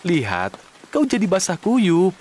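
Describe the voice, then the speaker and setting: A man voicing a patient, sensible, caring helper in a children's cartoon, gently admonishing and expressive. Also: sits high, a little slow, a little hiss or hum, exaggerated voice. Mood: concerned. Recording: studio voice over cartoon music.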